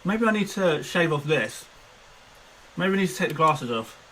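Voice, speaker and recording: A man's voice: a little high, expressive, moderate pace, sharp tone, a little hiss or hum, low-quality recording. A man in his twenties chatting casually on a live stream, self-mocking and laughing often. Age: 20s. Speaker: fantasy football streamer.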